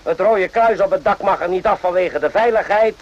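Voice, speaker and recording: Radio drama: male actor as cynical, gruff sergeant, scornful and slangy, slightly high pitch, dull lo-fi sound. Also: brisk, natural voice. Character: gruff, cynical, sarcastic male army sergeant, old hand. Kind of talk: radio play acting. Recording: dull lo-fi old radio play.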